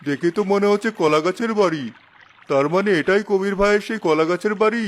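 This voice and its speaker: Man acting high-voiced worried village husband, cartoon. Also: fast talker, natural voice. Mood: doubtful, curious. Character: worried village husband and father, hesitant, stubborn. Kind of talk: cartoon dialogue.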